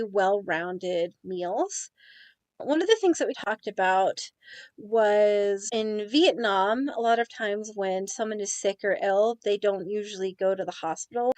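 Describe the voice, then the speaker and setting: A woman's voice: mid-range voice, darkish tone, no room sound. Young woman reading a class report, dry sound. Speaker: student presenter.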